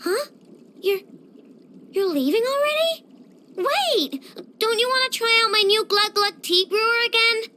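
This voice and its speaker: Very high, excitable little girl inventor. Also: fairly quick, hardly any pauses, slight background noise. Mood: whiny, pouting. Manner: childish, playful, bossy, dramatic. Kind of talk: video game character voice lines.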